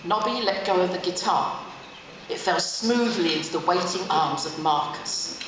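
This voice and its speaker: A woman's voice: lowish voice, normal speed. A middle-aged woman with an English accent gives a theatrical, dramatic book reading aloud to an audience. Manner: expressive, theatrical. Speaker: reader. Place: large hotel function room, on stage. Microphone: stand mic at lectern through PA, filmed from audience. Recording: very echoey hall, audience noise.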